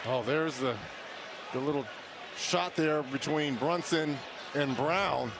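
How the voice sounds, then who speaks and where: A man's voice: on the high side, slow pace. A man giving analysis in live basketball broadcast commentary, breaking down plays as a colour commentator. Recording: broadcast with clear background noise.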